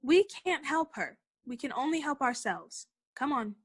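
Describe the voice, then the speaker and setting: Resolute young woman actress, high bright voice, very animated, firm and urgent, old-time radio drama. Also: a little slow. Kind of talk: 1940s-style radio play acting.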